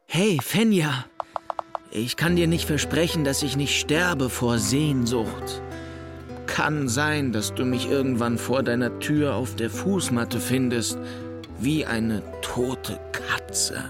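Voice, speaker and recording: Actor as earnest wounded young guy, talks slow, emotional, guitar music behind. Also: mid-range voice, natural voice. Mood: yearning. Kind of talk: audio drama acting.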